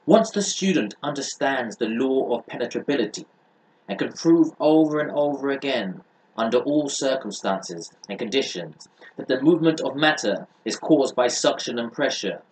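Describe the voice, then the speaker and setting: A man's voice: mid-range voice, animated, moderate pace, a little mellow, low-quality recording. A young man reading a book aloud in a declamatory, emphatic manner, recorded in a small room. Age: young adult. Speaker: reader.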